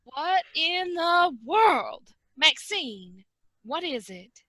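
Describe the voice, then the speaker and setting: A woman plays a warm, gushing friend in a stage drama. The voice is high and swoops widely, with very slow phrasing. It comes over a video call. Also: sing-song, dry recording, heavily acted. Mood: alarmed. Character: Booty, warm gushing female confidante. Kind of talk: amateur play table read.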